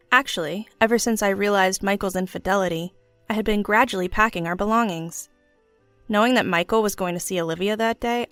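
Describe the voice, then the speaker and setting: A woman's voice: medium pitch, fairly bright tone, no room sound. A woman reading a scripted first-person story as its narrator. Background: background music.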